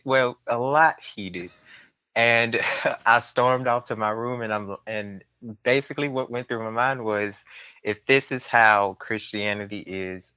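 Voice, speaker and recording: A man's voice: on the low side, dry recording, dull audio. A man, telling personal stories casually as an interview guest. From southern United States. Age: early 20s. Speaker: podcast guest.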